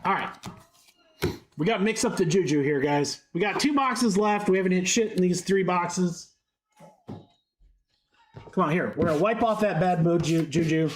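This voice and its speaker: A man's voice: sits high, moderate pace, pauses often, a little hiss or hum. A congested young man with a head cold, chatting upbeat on a live stream while he rattles off cards. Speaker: card break streamer. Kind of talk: live-stream card break commentary.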